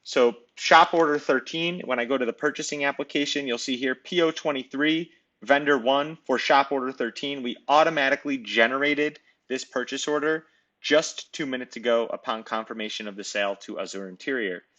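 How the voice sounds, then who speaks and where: A man narrating a screen-recorded software demo, explaining each step in a conversational way. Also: medium pitch, fairly quick, muffled recording. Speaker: software demonstrator. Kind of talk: screen-recorded tutorial walkthrough.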